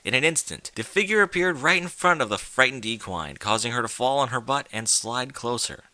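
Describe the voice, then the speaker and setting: Lively, dramatic male story narrator. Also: mid-range voice, a little fast, no echo. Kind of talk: dramatic scripted fan fiction narration.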